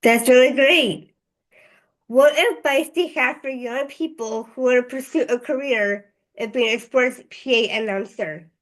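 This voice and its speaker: A woman's voice: high voice, a little slow, fairly bright tone, low-quality recording. A female interviewer hosting over a video call and asking prepared questions. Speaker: interviewer, show host.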